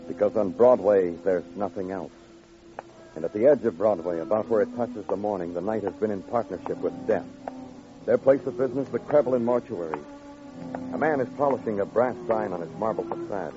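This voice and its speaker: An actor playing a hard-boiled, world-weary New York police detective in old-time radio noir, brooding, poetic and terse. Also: male voice, on the low side, close to an everyday voice. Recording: dull vintage radio recording. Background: music.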